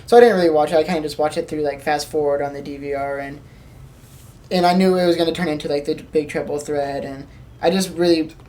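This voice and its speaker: A woman's voice: low voice, fairly even intonation, fast talker, mellow. A woman co-hosting a podcast, chatting casually and conversationally. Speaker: podcast co-host. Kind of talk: casual chat.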